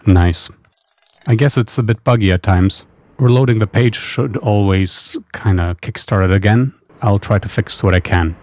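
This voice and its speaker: A man's voice: on the low side, moderate pace, a little mellow. A man giving a casual screencast tutorial as a developer, informal and understated, thinking aloud. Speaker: software developer presenting own tool. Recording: noticeable background noise.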